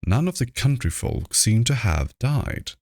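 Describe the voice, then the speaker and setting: A man reading classic horror fiction aloud as scripted narration, in a measured, deliberate manner, close to the microphone. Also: medium pitch, sing-song, dry recording. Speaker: audiobook reader.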